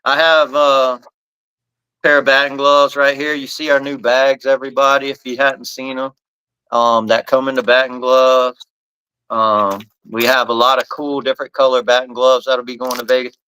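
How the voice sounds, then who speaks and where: A man's voice: medium pitch, little pitch movement, moderate pace, pushed and bright, clear, resonant tone, rough recording. A man hosting a casual live podcast, talking loosely about his company's products and often restarting his phrases. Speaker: podcast host and bat company owner.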